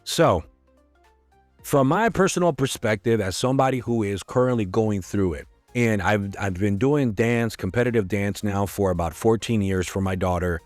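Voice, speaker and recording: A middle-aged man with a hoarse voice hosting a podcast, talking frankly in a casual, conversational way. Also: on the low side, normal speed, no room sound, faint music behind. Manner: frank, conversational, sprinkled with swearing. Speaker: podcast host. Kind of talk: casual solo podcast talk.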